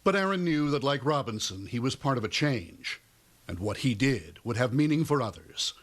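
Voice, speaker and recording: Guy doing a scripted tv news voiceover, pitch moves around a lot. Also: animated, normal speed, fairly bright tone. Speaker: TV news reporter.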